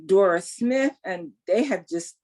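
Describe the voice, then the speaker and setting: A woman's voice: mid-range voice, animated, measured pace, a little mellow. A woman in her sixties, a guest telling her story in an emphatic, faith-filled way. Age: 60s. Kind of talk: casual interview, testimony.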